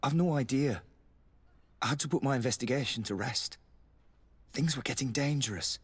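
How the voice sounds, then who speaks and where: An actor playing a wary, grave military lieutenant with a husky voice in a video game, speaking in a secretive, measured way. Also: male voice, mid-range voice, natural voice. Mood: grave, confiding. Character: guarded, wary male military lieutenant instructor. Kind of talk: video game character acting.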